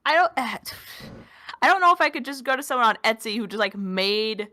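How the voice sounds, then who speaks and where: A woman's voice: a little high, animated, brisk, sharp tone. A woman in her early twenties, a podcast co-host, chatting casually with lots of filler words and self-deprecating asides. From Minnesota. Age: 20s. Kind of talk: casual chat.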